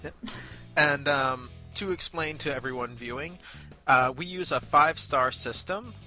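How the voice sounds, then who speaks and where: Bright-voiced man co-hosting a review. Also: on the high side, unhurried. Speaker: review show co-host. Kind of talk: conversational review commentary. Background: background music.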